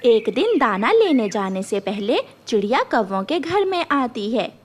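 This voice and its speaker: Female storyteller for children's narration: high-pitched, unhurried, animated intonation, scripted read.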